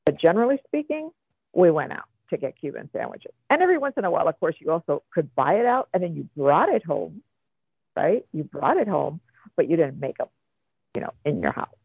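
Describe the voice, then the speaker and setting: A man's voice: a little high, very animated, fairly quick, creaky, husky, dry recording. Cuban-born man on a phone line. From Cuba. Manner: chatty, rambling with many fillers. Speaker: guest. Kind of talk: radio interview.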